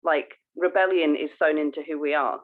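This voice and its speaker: A woman co-hosting a casual podcast, halting and earnest as she thinks aloud. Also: low-pitched, steady tone. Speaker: podcast co-host. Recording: tinny, phone-like narrow band.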